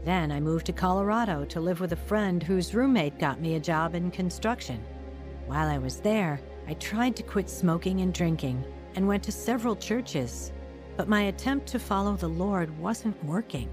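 A woman's voice: lowish voice, lively intonation, moderate pace, over music, natural voice. An actress as an earnest born-again woman telling her story. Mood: reflective. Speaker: lead actress and first-person narrator. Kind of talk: dramatized radio testimony narration.

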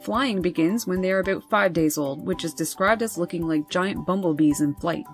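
A woman host reading scripted nature narration over wildlife footage in a measured, informative way. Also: on the low side, soft background music. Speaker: birding channel host.

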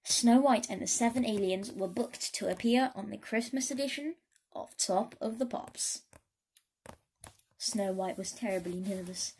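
A woman storyteller giving an expressive read-aloud of a children's story. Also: mid-range voice, measured pace, slightly rough, low-quality recording.

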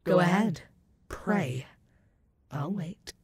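A woman's voice: lowish voice, lively intonation, darkish tone, husky, dry recording, exaggerated voice. A woman playing an ancient, sadistic and possessive female werewolf demon in a roleplay audio, purring menace and mocking pet names at the listener. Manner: slow, teasing, purring menace with mocking endearments.

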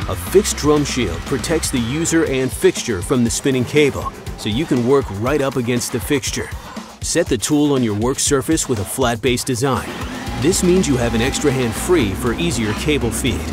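A man reading a scripted promotional voice-over as a commercial announcer. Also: mid-range voice. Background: clear music behind the voice.

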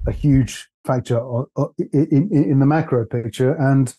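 A man talks markets on a podcast, his voice husky and rough from laryngitis. The tone is dark and mellow, and he speaks in a measured, reflective way with small hesitations. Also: mid-range voice. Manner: measured, thoughtful, with frequent "uh" and restarts. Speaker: podcast co-host, stock analyst. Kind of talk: casual investment podcast chat.

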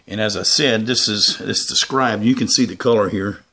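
A man's voice: lowish voice, normal speed, sharp tone. A man talking casually and unscripted as he shows off gear in a vlog, in a rambling, hesitant way. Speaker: YouTuber presenting his radio antennas. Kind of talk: casual unscripted product show-and-tell.

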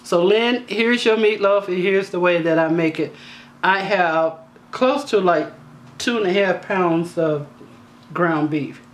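A woman with a slightly raspy voice hosting a home cooking tutorial, talking in a chatty, down-to-earth way with joking asides. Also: lowish voice, slow pace. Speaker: cooking channel host. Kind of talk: casual cooking tutorial voice-over. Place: home kitchen.

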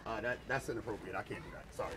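A man's voice: lowish voice, racing. A young man talking casually and a little apologetically, hesitant and informal, in a candid store exchange. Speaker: prankster posing as store security. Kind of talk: candid hidden-camera street prank talk. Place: large busy retail store. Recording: noisy store, voice picked up from a distance. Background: crowd and store bustle.

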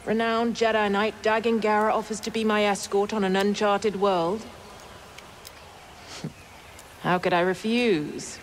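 An actress playing a wry, patient female Jedi in a video game, composed and gently teasing. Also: medium pitch, fairly even intonation, a little slow, pauses often, slight background noise, natural voice. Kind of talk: cinematic video game acting.

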